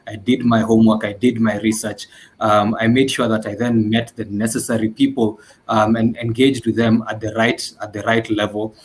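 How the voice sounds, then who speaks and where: A man giving a reflective, measured and somewhat hesitant answer as a panel guest. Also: on the low side.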